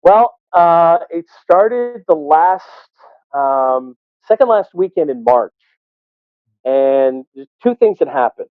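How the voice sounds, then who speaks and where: A man telling stories conversationally as an interview guest, often halting and repeating words. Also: fairly high pitch, lively intonation, clear, resonant tone. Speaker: interview guest, sports arena PA announcer. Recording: roomy, thin phone-like call audio.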